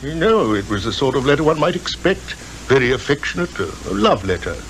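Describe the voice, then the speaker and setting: Worried upper-class British gentleman, noisy recording. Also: mid-range voice, very animated, fairly quick. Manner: formal, hesitant, reserved. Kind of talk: 1950s radio drama acting. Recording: noisy 1950s radio recording.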